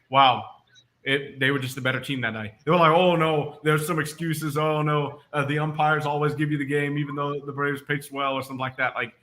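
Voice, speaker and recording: A man co-hosting casual sports talk, animated and rising in pitch as he makes his points. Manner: animated, goes up in pitch when making a point. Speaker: sports podcast co-host.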